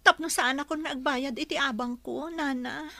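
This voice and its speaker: A woman's voice: sits high, steady tone, moderate pace, sharp tone, dry recording. A woman with an Ilocano accent playing a weary, pleading young mother who works as household help, in a radio-style drama. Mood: pleading, hurt.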